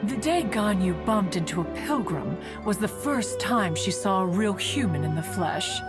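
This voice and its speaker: A woman's voice: mid-range voice, animated, a little slow, close to an everyday voice. A woman voicing an ancient, dignified immortal guardian in a video game, haughty yet fond, speaking in formal archaic phrases. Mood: fondly reminiscing. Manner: archaic, formal, stately, refers to herself as "one". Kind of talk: anime-style video game acting. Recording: loud game music behind the voice.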